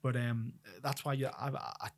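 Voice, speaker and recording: A man co-hosting a casual chat about tabletop wargame army lists, explaining in a rapid, chatty way. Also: medium pitch, flat delivery. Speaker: co-host.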